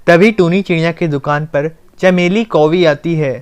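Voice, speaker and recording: A woman's voice: fairly low pitch, moderate pace, smooth. A woman narrator reading a scripted children's story.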